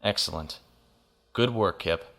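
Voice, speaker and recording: A calm, principled naval officer. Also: male voice, deep voice, gravelly, exaggerated voice. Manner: measured, composed.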